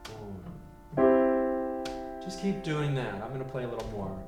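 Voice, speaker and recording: A man teaching a piano lesson, counting beats out loud and explaining chord changes. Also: medium pitch, sing-song, a little slow. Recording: roomy, distant mic, loud piano. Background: piano playing.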